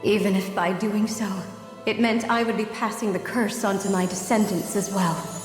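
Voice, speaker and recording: An actress playing a remorseful ancient maiden, speaking a solemn, measured, sorrowful monologue in a video game. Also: female voice, mid-range voice, steady tone, natural voice. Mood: grave resolve. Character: remorseful ancient maiden confessing her doom. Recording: echoing long reverb, music behind.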